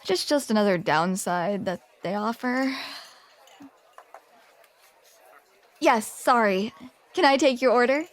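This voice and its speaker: Casting: female performer as grumpy female barista for ASMR roleplay, higher-pitched, dry sarcasm, awkward halting delivery, soft-hearted underneath. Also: animated, lightly acted. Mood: awkwardly keen. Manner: sardonic, self-deprecating, awkward, halting. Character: grumpy, flustered tsundere barista woman, secretly sweet.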